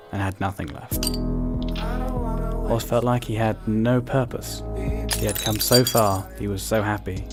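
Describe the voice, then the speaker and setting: A male narrator reads a scripted radio drama. His voice sits fairly low. Loud music plays behind the narration.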